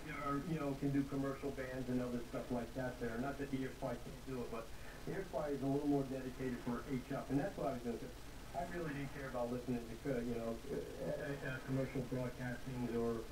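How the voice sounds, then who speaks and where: Guy on ham radio, kinda hesitant and wandering, roomy echo, lots of noise. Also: mid-range voice. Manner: hesitant, meandering technical explanations. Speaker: amateur radio operator. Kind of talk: casual ham radio chat. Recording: echoey, hissy amateur radio signal.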